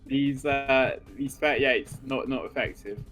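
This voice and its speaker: A man's voice: medium pitch, fairly even intonation, measured pace, a bit bright, soft background music. A man co-hosting a gaming video in casual banter, joking dryly and swearing now and then. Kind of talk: casual banter over gameplay.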